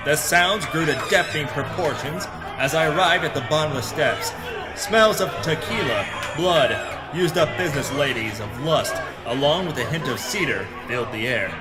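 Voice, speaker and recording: A man's voice: mid-range voice, big-room sound, close to an everyday voice. A man playing a hardboiled, melodramatic pulp detective who narrates his adventure in a noir parody audio drama.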